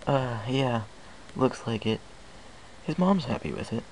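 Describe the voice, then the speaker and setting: A man voicing an awkward, uneasy pony stallion and young father in a halting storybook reading. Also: medium pitch, lively intonation, a little mellow, a little hiss or hum, pitched above the performer's own voice, lightly acted, low-quality recording. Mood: nervous, awkward. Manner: hesitant, halting. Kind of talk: amateur audiobook character voice.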